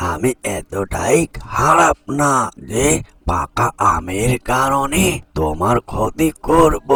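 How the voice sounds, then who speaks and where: A man voicing a stern but soft-hearted old ghost grandfather in a cartoon, with a husky voice and theatrical delivery. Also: medium pitch, dry recording, full character voice. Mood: softened. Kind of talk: slow, theatrical cartoon voice acting.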